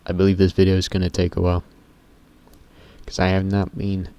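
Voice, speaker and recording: A teenage boy with a very deep voice, rambling casually to camera in a vlog, often stopping himself mid-sentence and swearing offhandedly. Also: monotone, a little fast, dark tone. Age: teens. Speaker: YouTuber.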